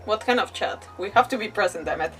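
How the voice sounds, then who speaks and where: A woman's voice: mid-range voice, expressive, fast, slightly rough, faint music behind. Playful woman streamer, slightly distant mic. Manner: rambling, playful, mild swearing. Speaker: game livestreamer. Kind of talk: casual live gaming commentary.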